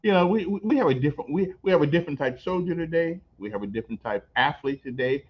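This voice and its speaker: An older man hosting a casual on-location interview, telling stories in an animated way, repeating words and laughing as he goes. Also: on the high side, quick pace, slightly rough. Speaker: host. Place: on location at a veterans center. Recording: dull lo-fi recording.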